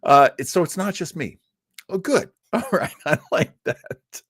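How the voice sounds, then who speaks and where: A man hosting a show with casual, amused, halting and enthusiastic commentary, close on the mic. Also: a little high, animated, a bit bright, breathy edge, no echo. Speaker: show host.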